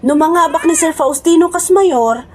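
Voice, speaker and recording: A woman's voice: very high-pitched, unhurried. An actress with an Ilocano accent playing a brash, scornful woman in a comedy radio drama, snapping melodramatically. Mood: indignant. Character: brash, scornful woman quitting her job for politics.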